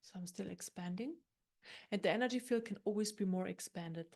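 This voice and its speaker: A woman leading an unscripted guided meditation in a gentle, unhurried, explanatory manner, often circling back over her words. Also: medium pitch.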